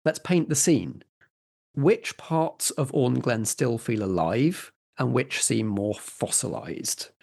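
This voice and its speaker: A man with a mid-pitched, gravelly voice acts as game master. He narrates a tabletop roleplaying game, laying out scenes for the players. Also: darkish tone.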